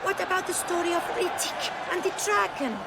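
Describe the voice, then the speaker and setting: A woman's voice: very high voice, a little fast, gravelly. A woman voicing an eager, earnest, inquisitive young child in a video game. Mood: curious, earnest. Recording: loud outdoor crowd noise behind.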